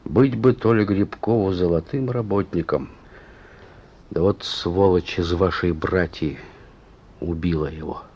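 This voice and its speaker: An actor playing a shrewd, stern police chief with an ironic, folksy way of talking in a Soviet radio play. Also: male voice, low-pitched, measured pace, a little mellow, husky, heavily acted. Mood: grim, stern. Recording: muffled old radio recording.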